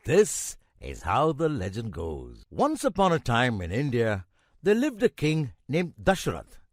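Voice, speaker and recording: Very animated storytelling grandfather. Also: medium pitch, no room sound, studio quality. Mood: patient storytelling. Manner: measured, expressive, emphatic pauses. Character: kindly old grandfather telling legends to grandson. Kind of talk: children's storytelling narration.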